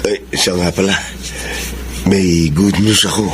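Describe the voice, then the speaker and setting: A man's voice: sits low, fairly even intonation, unhurried, slight background noise. An actor playing a humble, grateful middle-aged father in prison, in a Filipino radio drama, speaking sincerely. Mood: hopeful.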